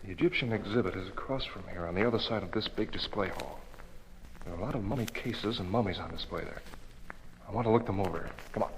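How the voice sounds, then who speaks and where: A man's voice: lowish voice, fast, lightly acted. An actor playing a decisive, commanding male vigilante hero in an old-time radio drama, giving purposeful orders. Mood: cautious, purposeful. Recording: noisy vintage radio recording.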